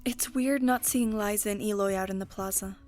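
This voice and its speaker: A woman playing a soft-hearted, idealistic granddaughter in an audio drama. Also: medium pitch, fairly even intonation, fairly quick, faint music behind, lightly acted. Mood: wistful.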